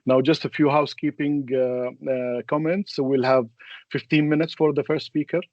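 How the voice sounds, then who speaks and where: A man's voice: a little high, flat delivery, moderate pace, dry recording. Arabic native guy hosting a webinar, hesitant stop-start talk, kinda muffled dry sound. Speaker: webinar moderator. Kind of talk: introducing speakers and housekeeping in a webinar.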